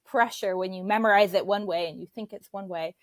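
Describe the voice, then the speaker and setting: A woman who coaches English, answering questions at a medium pitch in long, thoughtful turns. Her tone is encouraging and steady. The recording is dry and close, with little room sound. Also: a little fast, no echo. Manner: thoughtful and encouraging, speaks at length. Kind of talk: interview guest answers.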